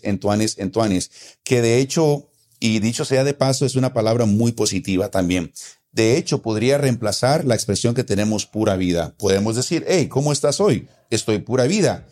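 A man's voice: medium pitch, animated, normal speed, darkish tone. A man with a Costa Rican accent, patiently explaining local words in a casual conversation, often repeating his points. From Costa Rica. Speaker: guest explaining local slang.

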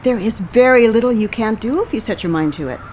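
An actress playing a blunt, commanding seventy-one-year-old Army veteran who barks clipped orders in a stage play. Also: female voice, fairly high pitch, lively intonation, brisk, darkish tone, slight background noise, close to an everyday voice. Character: brisk, bossy 71-year-old woman, ex-Army fitness drill-sergeant. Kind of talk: scripted two-person stage comedy-drama.

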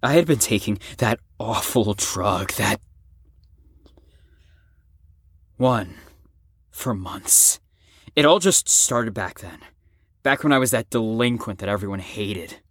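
A young man acting a troubled, self-loathing teenage ex-addict in an emotional audio drama monologue, halting and profane. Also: fairly low pitch, animated, fairly bright tone, breathy edge, dry recording, studio quality, exaggerated voice. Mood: bitter, hurt. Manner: emotional, halting, profane, swings between bitter and impassioned. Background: music under the voice.

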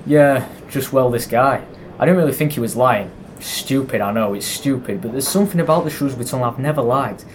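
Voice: mid-range voice, brisk, lightly acted. A performer playing a gossipy, grumbling male railway worker in an amateur audio drama. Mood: gossipy, uneasy. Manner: colloquial, conversational. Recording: faint background noise and ambience.

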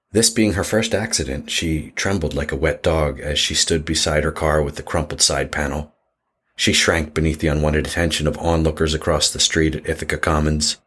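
A man's voice: very deep voice, a bit bright, breathy edge. A man narrating a scripted horror story in an audio drama.

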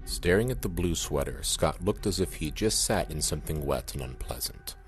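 A man's voice: extremely low pitch, expressive, moderate pace. A man delivering scripted narration as the narrator of an audio drama. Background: music.

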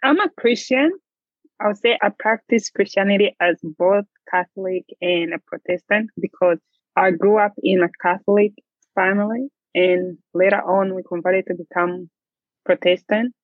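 A woman's voice: mid-range voice, normal speed, dry recording. A woman guest in a casual chat, speaking plainly and briefly. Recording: tinny phone-like sound.

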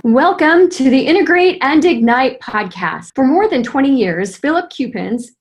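A woman's voice: high voice, lively intonation, moderate pace, lo-fi sound, soft background music. A woman who hosts a business podcast, introducing her guest from a prepared script and then asking chatty, thoughtful questions. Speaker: podcast host. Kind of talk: hosted business interview.

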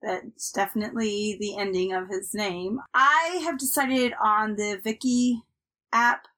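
A woman's voice: mid-range voice, measured pace, sharp tone. A woman co-hosting a casual podcast, chatting in an upbeat, rambling way with plenty of fillers. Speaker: podcast co-host. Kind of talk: casual chat.

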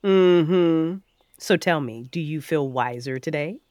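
A woman's voice: deep voice, takes it slowly, a little mellow, dry recording, studio quality. A woman playing a teasing, confident and bossy superhero fighter in an audio drama. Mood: affectionate teasing. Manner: teasing, assertive.

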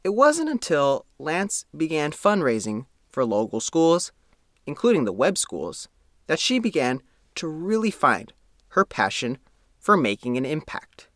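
A man narrating a scripted profile story as its reporter, reading in a measured, formal way. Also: a little high, huge pitch swings, a bit bright, no room sound, clean studio sound.

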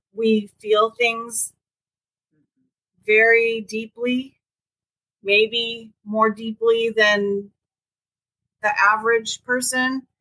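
A woman's voice: a little high, poor audio. Hesitant woman podcast co-host. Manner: hesitant, many ums, soft-spoken openness. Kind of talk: casual chat.

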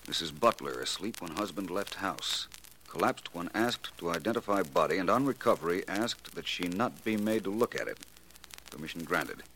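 A self-assured radio detective with a low, forceful voice. His delivery is polished and wry, with big swings of intonation. The old recording has audible noise and clicks. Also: animated, moderate pace, poor audio. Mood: musing. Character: urbane, confident male private detective. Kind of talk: 1940s radio acting.

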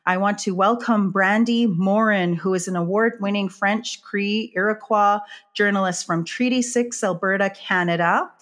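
A woman's voice: mid-range voice, steady tone, normal speed. Woman hosting a podcast, gushy intro, asks her guest questions. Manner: warm, effusive introductions. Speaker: podcast host. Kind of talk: interview hosting.

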